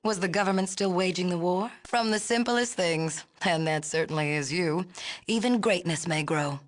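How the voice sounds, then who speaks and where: A woman's voice: lowish voice, moderate pace, lightly acted. A woman playing a haughty, imperious leader of a city in a video game, speaking formally and precisely with a condescending manner. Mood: gracious, patronising. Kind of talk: video game character dialogue.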